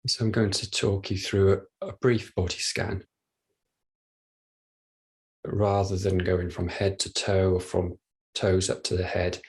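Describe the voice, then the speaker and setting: A man leading a guided body scan meditation, speaking gently and without hurry, leaving long pauses. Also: low voice, creaky, slightly rough. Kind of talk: guided meditation, soft instruction.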